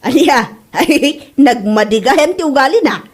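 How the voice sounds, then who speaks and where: A woman's voice: high-pitched, expressive, unhurried. A woman playing a stern but neighborly mother in a comedy drama, with an Ilocano Filipino accent. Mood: annoyed. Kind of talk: amateur comedy radio-style drama.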